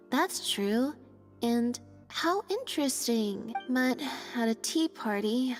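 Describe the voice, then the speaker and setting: A woman voicing a flat, low-energy young female stage magician who speaks in deadpan, minimal replies. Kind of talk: game voice acting. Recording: game dialogue with soft music behind.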